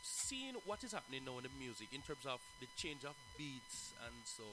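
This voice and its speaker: A man's voice: a little high, wildly swooping pitch, a little fast, background noise. A man interviewing a singer in a casual, agreeable way, often adding affirmations.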